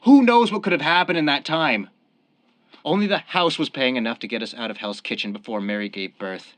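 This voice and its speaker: Actor as desperate cornered mob gunman, sharp-edged voice. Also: mid-range voice, fairly quick, dry recording, close to an everyday voice. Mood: desperate, heated. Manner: earnest, defensive, pleading. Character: desperate, cornered young family man turned mob killer. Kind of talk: old-time radio crime drama acting.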